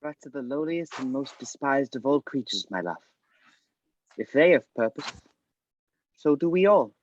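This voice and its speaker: A man playing a gentle, loving, philosophical father in an amateur table read. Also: medium pitch, lively intonation, moderate pace, close to an everyday voice. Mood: tender. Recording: dull lo-fi sound.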